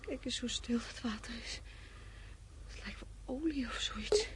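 Plaintive, high-voiced weary woman in radio drama. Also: big pitch swings, measured pace, in a whisper. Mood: dreamy, wistful. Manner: plaintive, reproachful, drifting into dreamy murmurs. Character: weary, thirsty woman fleeing on foot, needling and wistful. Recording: noisy radio play recording.

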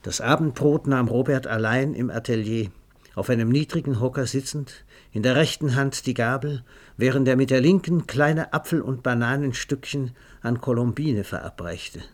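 Ein Mann erzählt in einem Hörspiel eine literarische Geschichte, ausdrucksvoll, genau und mit leiser Ironie. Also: male voice, mid-range voice, normal speed, close to an everyday voice. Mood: wry, vivid. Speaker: narrator. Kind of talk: scripted literary narration for a radio play.

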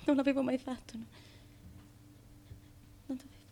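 An actress playing a fragile, bewildered, devoted wife of an ancient tyrant in a radio play, moving between hushed and insistent delivery. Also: female voice, sits high, level tone, normal speed, vocal fry, a little hiss or hum. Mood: anguished. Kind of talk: Italian radio theatre acting.

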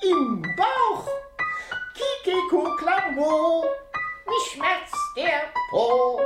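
A woman's voice: high-pitched, animated, very slow, roomy sound, over loud music, exaggerated voice. A woman playing a clever, teacherly parrot in a children's radio play, with a theatrical, instructive sing-song delivery. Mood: playfully instructive. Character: clever, teacherly female parrot advising a doctor.

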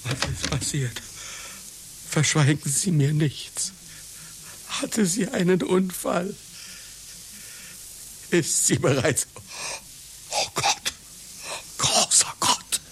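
A man's voice: fairly high pitch, fairly bright tone, a little hiss or hum, full character voice. An actor playing an anxious, self-pitying old circus clown in a satirical radio play, brooding and theatrical in his delivery. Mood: fearful. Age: older. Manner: theatrical, brooding, halting, insistent.

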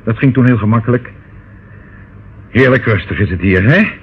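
An actor playing a devious older uncle in a radio drama, glib, reassuring and condescending. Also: male voice, on the low side, moderate pace, husky. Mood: smug reassurance. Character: smooth, devious older uncle, soothing and patronising. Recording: phone-like, noisy old radio play recording.

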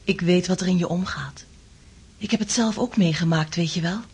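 Very breathy, coaxing, eerily tender woman. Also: fairly low pitch, normal speed, darkish tone. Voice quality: breathy, often whispering. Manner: soothing, coaxing. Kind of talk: radio drama acting.

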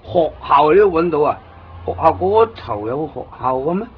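A man voices a chatty young Hong Kong man settled in Tokyo, all jokes and eager offers to show a visitor around, in a Hong Kong Cantonese accent. His fairly high voice swings up and down constantly. The 1990s radio recording sounds dull and lo-fi, with faint hiss. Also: moderate pace, lightly acted. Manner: talkative, excitable, joking. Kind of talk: 1990s radio drama acting.

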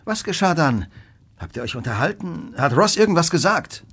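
An actor playing a brilliant, coolly analytical detective in a German radio play, speaking precisely and with authority. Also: male voice, a little high, sing-song, moderate pace, a bit bright, breathy edge, heavily acted. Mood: urgent, probing.

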